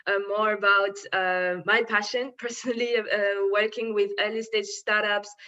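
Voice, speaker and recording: A woman from Congo, raised in France, presents a webinar on funding for startups. Her voice is bright and forward, and she hesitates often, restarting phrases as she goes. The sound is somewhat dull, like compressed online call audio. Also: mid-range voice, fairly even intonation, normal speed. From Congo, grew up in France. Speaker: webinar presenter, impact investor.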